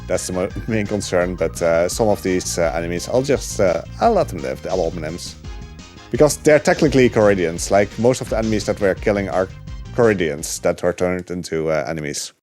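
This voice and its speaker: Low-voiced man casually commentating a game run. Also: fairly quick, faint music behind. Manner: relaxed, rambling, many filler ums and uhs. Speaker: speedrunner commentating his run.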